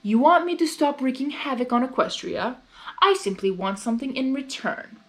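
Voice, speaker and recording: A woman takes on a sly male chaos creature from a fan fiction story. The voice is high for such a villain, drawling and theatrical, smug and mocking, with music behind it. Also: expressive, normal speed. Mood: smug negotiating. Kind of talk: fan fiction character reading.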